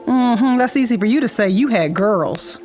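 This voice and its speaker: A woman's voice: fairly high pitch, lively intonation, normal speed, a little mellow, faint music behind, close to an everyday voice. A woman playing an easygoing middle-aged American suburban mother in naturalistic radio drama, chatty and folksy.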